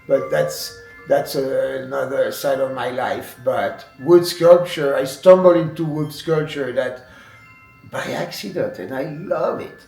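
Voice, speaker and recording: A man's voice: medium pitch, a little slow, creaky, darkish tone, faint music behind. A man speaking English with a French accent, his first language being French, talking as a wood sculptor in a documentary interview, hesitant with many fillers and restarts. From France. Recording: echoey room, distant mic.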